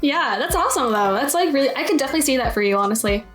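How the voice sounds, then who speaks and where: A woman's voice: sits high, expressive, very fast, fairly bright tone. A young woman hosting a casual interview in an informal, giggly, chatty manner. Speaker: interview host. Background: music.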